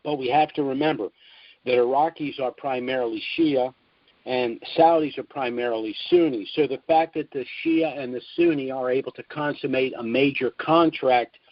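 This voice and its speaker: A man hosting a conference call, speaking in a measured, formal way with frequent filler pauses. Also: mid-range voice, steady tone, no echo, lo-fi sound. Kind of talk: scripted briefing on a call.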